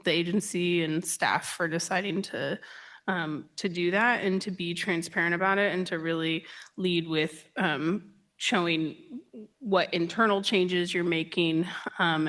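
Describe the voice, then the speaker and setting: A woman commissioner speaking at a county meeting. She gives thanks in a measured, pausing way. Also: fairly low pitch, a bit bright. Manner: measured and appreciative, with pauses. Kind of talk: formal meeting remarks.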